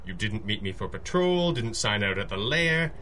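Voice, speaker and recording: Wry, composed masked hero. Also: male voice, lowish voice, big pitch swings, normal speed, bright, forceful tone, a little hiss or hum. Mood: dry, teasing. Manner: dry, teasing, articulate. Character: wry, composed male masked superhero, wealthy boss. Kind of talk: old-time radio drama acting.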